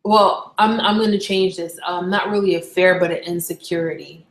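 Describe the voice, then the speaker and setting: A woman's voice: fairly low pitch, fairly even intonation, normal speed. A young woman from Barbados chatting casually on a live stream, candid and rambling, often correcting herself mid-sentence. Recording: echoey room.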